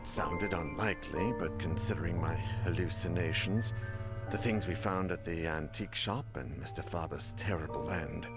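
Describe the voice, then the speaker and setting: A man's voice: sits low, moderate pace, loud music behind the voice. An actor playing a haunted, weary middle-aged man who narrates a horror game in a brooding, theatrical way. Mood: brooding, resolved. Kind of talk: dramatic first-person narration in a horror game.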